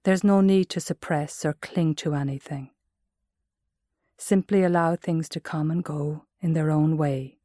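An Irish woman narrates a guided mindfulness meditation in a low-pitched voice. Her delivery is gentle and measured, like careful instruction. The sound is dry, with no room around it. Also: steady tone, no echo.

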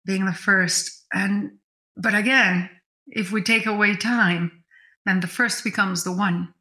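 A woman's voice: medium pitch, fairly even intonation, takes it slowly, bright, forceful tone. A woman co-hosting a spiritual discussion podcast, thinking aloud in a reflective way and restating her points. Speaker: podcast co-host.

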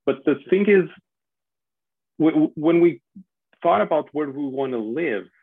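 A man's voice: on the high side, animated, measured pace, husky, no room sound. A man around forty from Portugal, a podcast guest speaking earnestly and reflectively with frequent restarts. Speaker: podcast guest, security specialist. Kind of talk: casual interview answers. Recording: tinny phone-like line, dry.

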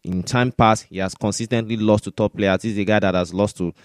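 A man's voice: on the low side, fairly even intonation, brisk, dry recording, studio quality. A man hosting a sports discussion, giving opinionated, argumentative commentary with points repeated for emphasis. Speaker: sports podcast host.

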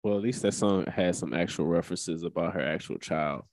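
A man's voice: low voice, fairly quick, darkish tone, no room sound, studio quality. A man hosting a music radio show in a casual, chatty style, introducing songs.

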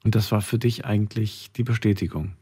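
Male phone-in host, low and somewhat raspy, gentle and informal with callers. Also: steady tone, brisk. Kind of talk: casual phone-in talk.

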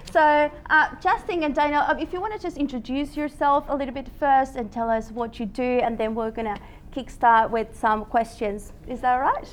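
A woman's voice: high-pitched, normal speed, a little hiss or hum. A woman hosting and moderating a live panel before a small audience, friendly but hesitant, with many "um" and "uh". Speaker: host and moderator. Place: workspace event room with small audience.

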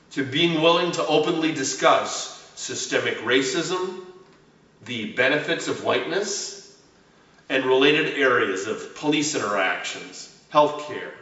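Male preacher, prepared homily. Slow, measured delivery with lively pitch swings and emphatic stresses; heard in a very reverberant room. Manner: measured, deliberate phrasing with emphatic stresses and falling line endings. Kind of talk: prepared sermon. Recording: very echoey room, distant mic, slightly dull sound.

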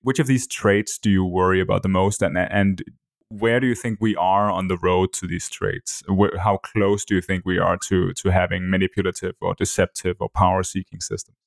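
Deep-voiced Danish podcast host. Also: male voice, flat delivery, vocal fry, no room sound, clean studio sound. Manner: measured, repeats words while forming questions. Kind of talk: interview questions.

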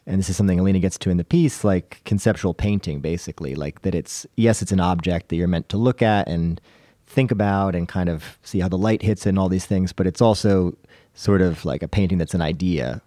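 A man's voice: low-pitched, dark tone, dry recording, studio quality. A man hosting a casual panel discussion, talking in a conversational, explanatory way. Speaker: podcast host.

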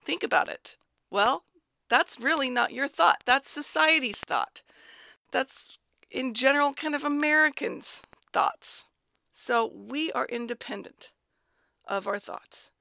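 A woman life coach giving a casual motivational talk, slightly hoarse from a cold, upbeat and chatty, laughing often as she speaks. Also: high voice, takes it slowly, bright, forceful tone, no room sound. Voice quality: raspy.

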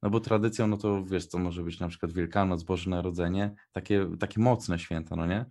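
A man's voice: low voice, fairly even intonation, moderate pace, warm tone. A young man co-hosting a radio show in casual chat, with informal, hesitant phrasing. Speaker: radio co-host.

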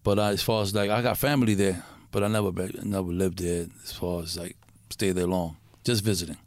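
A middle-aged man from Puerto Rico, a guest in a casual interview, who talks in a halting way, repeating words and using street slang. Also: sits low, fairly even intonation, no echo.

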